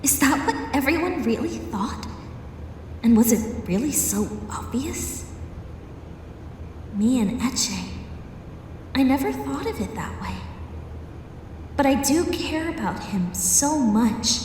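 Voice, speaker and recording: A brave, caring young female creature heroine, very high and bright. She speaks slowly and earnestly, heard from a distance in an echoey room. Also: lively intonation, slight background noise. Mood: reflective.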